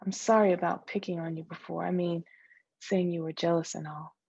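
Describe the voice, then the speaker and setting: A woman giving an expressive read-aloud of a novel's dialogue, acting out both sides of a conversation. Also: fairly low pitch, brisk, gravelly, slightly rough, dry recording, dull audio. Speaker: guest reader of a novel.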